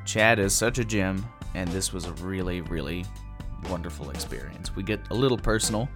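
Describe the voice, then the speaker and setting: A man in his twenties hosting a podcast in a casual, upbeat way, his voice hoarse and worn. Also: deep voice, fairly quick, music in the background. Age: 20s. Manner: upbeat, laughs easily. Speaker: podcast host.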